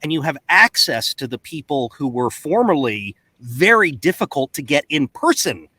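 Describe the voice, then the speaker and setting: A man's voice: on the high side, moderate pace, husky, no room sound. A man chatting casually and expressively as a voice actor on a panel. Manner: animated, expressive. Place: home recording booth, on a video call. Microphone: close studio microphone.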